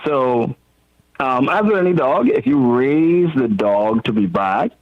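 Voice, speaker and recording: A man's voice: medium pitch, expressive, slow pace. Insistent man on a dull, muffled call. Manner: repeats words, insistent. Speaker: radio call-in guest. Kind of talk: casual opinionated chat. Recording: dull band-limited radio call.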